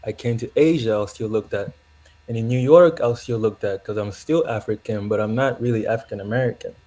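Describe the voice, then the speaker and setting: A man's voice: on the low side, brisk, warm tone. A young man from Zambia, calling into a show and talking in a rambling, reflective way with frequent laughs. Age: young adult. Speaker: caller. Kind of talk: casual call-in chat.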